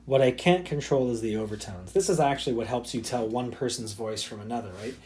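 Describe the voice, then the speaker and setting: A man teaching a physics lesson in a recorded slide talk. He keeps it loose and chatty, full of ums and small restarts. His voice sits at an ordinary middle pitch. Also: fairly quick, darkish tone, a little hiss or hum. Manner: casual, loose explaining with many fillers. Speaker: high school physics teacher.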